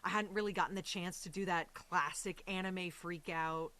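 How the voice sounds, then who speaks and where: A woman's voice: lowish voice, fairly even intonation, normal speed, bright, forceful tone. A woman talking casually as an interview guest on a podcast, effusive and chatty, with slangy quoted asides.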